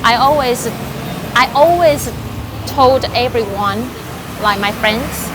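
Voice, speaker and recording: A woman's voice: fairly high pitch, slow pace. A woman from Taiwan, a life and business coach chatting in an interview with many fillers and light laughs. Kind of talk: casual interview answers. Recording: noisy background.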